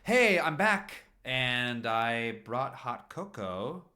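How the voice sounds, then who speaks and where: A man's voice: medium pitch, big pitch swings, drawn-out words, bright, forceful tone, pitched above the performer's own voice, heavily acted. A man voicing an easygoing, kindly, considerate caretaker in a story reading. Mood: cheery.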